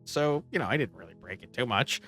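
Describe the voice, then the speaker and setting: A man's voice: medium pitch, lively intonation, a little fast, bright, forceful tone, soft background music. A man hosting a gaming video with casual, informal, enthusiastic commentary. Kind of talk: casual game commentary, sometimes reading game text aloud.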